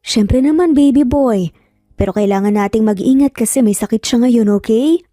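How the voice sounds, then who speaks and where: Anxious tender young mother, played by a woman, bewildered, fairly high voice, dry sound, audio storybook. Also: moderate pace, dark tone, no echo, soft background music, close to an everyday voice.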